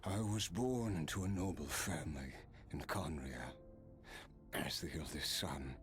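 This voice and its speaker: An actor playing an ancient cursed nobleman swordsman in a game, telling his story in a halting, weary, measured way. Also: very deep voice. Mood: courteous, measured. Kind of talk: dramatic game dialogue, slow storytelling.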